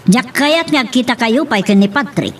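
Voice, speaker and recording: Woman acting snooty scolding drama rival, highish voice, a bit far from the mic. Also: expressive, moderate pace, faint music behind, lightly acted. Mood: scornful. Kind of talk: Ilocano radio-style romance drama acting. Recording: distant mic with faint noise.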